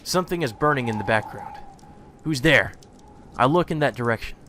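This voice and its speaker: A man's voice: medium pitch, expressive, a little fast, pushed and bright, a little hiss or hum. A man reading visual novel text aloud as a let's play host, with dramatic delivery and casual asides, over game music. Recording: music playing behind the voice.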